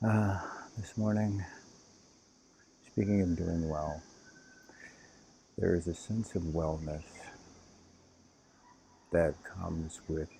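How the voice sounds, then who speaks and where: Man, meditation teacher, very slow delivery, mellow dark tone, gentle instructions, faint cricket-like ambience. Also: low voice, vocal fry, a little hiss or hum, poor audio. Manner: calm, unhurried, gently instructing. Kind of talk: guided meditation.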